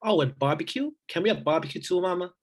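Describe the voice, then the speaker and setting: A woman's voice: fairly low pitch, animated, quick pace. A woman playing a hungry, pleading little boy in a script read-through, whining and begging. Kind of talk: rehearsed table read of a screenplay.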